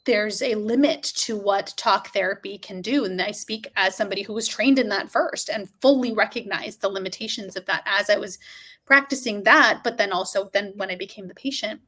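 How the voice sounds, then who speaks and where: A middle-aged woman explains carefully over a webcam from a home room, as the guest expert in an interview. Her voice is mid-pitched and steady, and she leans on key words with earnest emphasis. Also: brisk. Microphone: webcam on a video call.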